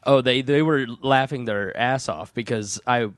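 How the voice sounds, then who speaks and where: Male podcast host, hoarse, loopy and giggly, dry close sound. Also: medium pitch, unhurried, no room sound. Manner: giggly and loopy from cough medicine. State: hoarse from losing his voice. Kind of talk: casual podcast chat.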